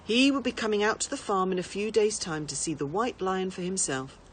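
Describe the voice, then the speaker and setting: Woman, storybook narration, expressive, mid-pitched, background noise. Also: brisk. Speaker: teacher reading to pupils.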